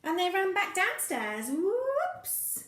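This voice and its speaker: A woman teacher reading a picture story aloud to young children, playful and expressive, with sound effects and questions for the listener. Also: extremely high pitch, takes it slowly, echoey room.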